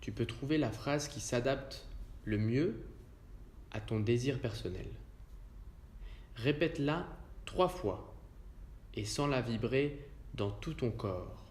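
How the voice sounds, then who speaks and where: A male yoga teacher guides a relaxation session in a roomy, echoing space. His delivery is very unhurried and calm, placed in short, separate phrases. His voice sits at an average male pitch. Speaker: yoga nidra instructor. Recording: roomy echo around the voice.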